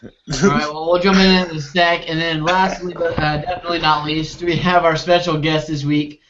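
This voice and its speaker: A man in his twenties hosts a relaxed group chat. His voice sits a little high for a man, and the video call audio is slightly muffled. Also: brisk, a crowd in the background. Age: 20s. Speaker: podcast host. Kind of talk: casual group recap chat.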